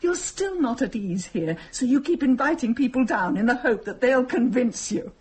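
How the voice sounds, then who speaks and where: An actress playing a coolly perceptive, reproving wife in a radio drama, speaking in a measured, analytical way. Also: female voice, fairly high pitch, animated, darkish tone, slight background noise, close to an everyday voice. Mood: cool reproach. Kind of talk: dramatic radio play acting.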